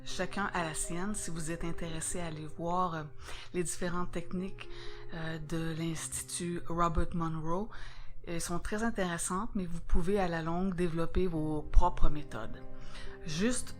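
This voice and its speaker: Calm Québécois woman presenter. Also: fairly low pitch. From Quebec. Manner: calm, measured, instructive. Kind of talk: scripted presentation.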